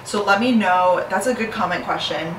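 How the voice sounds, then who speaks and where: A young woman in her twenties rambles cheerfully to her camera, peppering every thought with "like". She stands back from a camera on the kitchen counter, so her voice carries a roomy apartment echo over a steady background hum. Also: mid-range voice, normal speed, recorded from a distance. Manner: chatty, lots of "like" fillers, upbeat. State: slightly hoarse. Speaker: lifestyle vlogger. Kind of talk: casual vlog chat to camera. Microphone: camera on counter, some distance.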